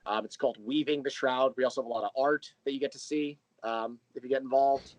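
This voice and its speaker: A man's voice: mid-range voice, fairly even intonation, fast, low-quality recording. A man talking casually and animatedly as a podcast guest and creator, telling about his work with enthusiasm. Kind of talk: casual interview chat.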